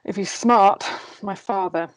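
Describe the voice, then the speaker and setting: A woman's voice: medium pitch, unhurried, dry recording, natural voice, low-quality recording. A woman playing a witty, sardonic dwarf lord in an amateur script table read. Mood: dry, wry. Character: witty, insolent adult nobleman, a dwarf lord.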